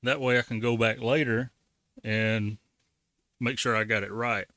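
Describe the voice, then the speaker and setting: A man's voice: medium pitch, fairly even intonation, a little slow, pushed and bright, no room sound, clean studio sound. A man giving a casual, folksy how-to walkthrough as the host of a hobby tutorial. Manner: folksy, colloquial. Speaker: ham radio tutorial host.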